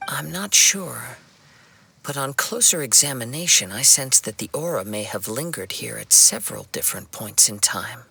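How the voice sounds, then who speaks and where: A man playing a calm, perceptive young wandering swordsman in a video game, speaking in a measured, formal and earnest way. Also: medium pitch, creaky, slight background noise, exaggerated voice. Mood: watchful. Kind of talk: video game cutscene acting. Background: game music.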